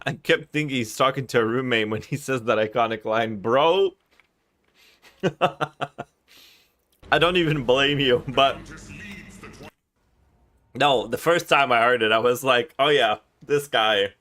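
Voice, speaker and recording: A man's voice: medium pitch, a little slow, long pauses, a bit bright. Laid-back Russian-born streaming gamer man. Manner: laid-back, swears, reads chat aloud, laughs often. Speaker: streamer host. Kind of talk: casual livestream chat while gaming.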